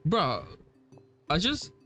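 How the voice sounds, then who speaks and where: A man giving casual, informal playthrough commentary in a halting way, as a gamer talking over a video game. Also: a little high, huge pitch swings, bright, forceful tone, breathy edge. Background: game music.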